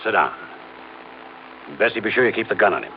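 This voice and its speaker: An American actor playing a smug, sardonic gangster boss in an old-time radio crime drama, mock-polite and commanding. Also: male voice, fairly low pitch, expressive, fairly quick, lightly acted. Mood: menacing, sardonic. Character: cocky, sardonic male gangster boss, menacingly cheerful. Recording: tinny, hissy vintage radio recording.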